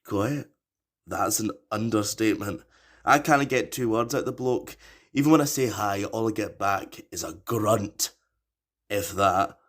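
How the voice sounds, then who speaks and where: A man's voice: lowish voice, unhurried, fairly bright tone. A man playing a wry Scottish survivor. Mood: wry teasing. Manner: blunt, wry, teasing. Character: gruff, teasing male survivor on night watch. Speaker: survivor in zombie audio drama. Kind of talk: audio drama acting.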